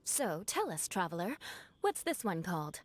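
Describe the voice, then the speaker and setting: A woman's voice: on the low side, very animated, moderate pace, slight background noise. A woman voicing a genial, courteous knight in a video game, with eloquent and formal phrasing. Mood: amused. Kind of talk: video game character acting.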